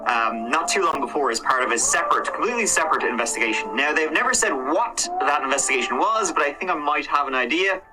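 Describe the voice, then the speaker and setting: A man's voice: on the high side, animated, quick pace, hardly any pauses, over music, low-quality recording. A man casually retelling a true crime case in a conversational way, with hedges and fillers. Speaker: true crime reaction host.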